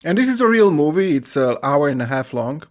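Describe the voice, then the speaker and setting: A man co-hosting a podcast, casually retelling things in a halting, deliberate way. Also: on the high side, very animated, a little mellow. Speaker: podcast co-host. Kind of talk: casual chat.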